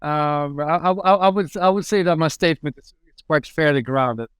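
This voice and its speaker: Man, podcast host, smooth clear voice, dry close mic, eager and rambling. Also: a little high, normal speed, fairly bright tone, no echo. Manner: enthusiastic, rambling, frequent fillers and restarts. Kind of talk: casual podcast interview.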